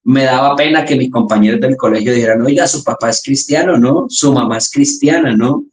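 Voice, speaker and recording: A man's voice: mid-range voice, a little fast, darkish tone. A young Colombian man with a Colombian accent, earnestly telling his church testimony with frequent restarts. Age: young adult. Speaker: church chaplain giving testimony. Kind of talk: testimony interview. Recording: roomy echo.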